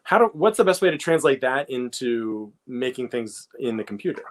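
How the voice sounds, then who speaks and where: A man's voice: medium pitch, expressive. A man hosting a casual interview, feeling his way through questions and rephrasing them as he goes. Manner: halting, repeats and rephrases questions thoughtfully. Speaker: interviewer, host.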